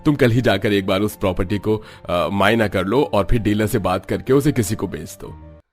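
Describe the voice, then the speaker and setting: A man's voice: on the low side, normal speed, soft background music, close to an everyday voice. A man voicing a wealthy, businesslike older patriarch in a cartoon story.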